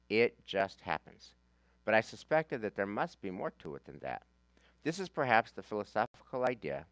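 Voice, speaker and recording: An American man with a fairly low voice leads a class as a rabbi. He speaks informally and emphatically, leaning on repetition and rhetorical questions. The recording is dry, with hardly any room sound. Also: fairly bright tone, no room sound. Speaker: rabbi leading a Talmud class. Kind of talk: informal teaching talk with reading from a handout.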